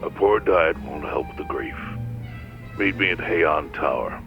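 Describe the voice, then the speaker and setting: An actor playing a grave elderly yakuza chairman in a dramatic video game, curt and commanding, with a gravelly voice through a phone filter. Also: low-pitched, a little slow. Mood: somber, firm. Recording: dull phone sound, faint music.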